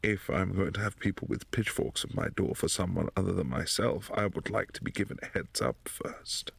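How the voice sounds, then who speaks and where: A man playing a possessive, imperious vampire prince in a boyfriend ASMR roleplay, speaking in a formal, commanding, softly menacing way. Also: sits low, moderate pace, creaky, a little hiss or hum. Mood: cool, imperious.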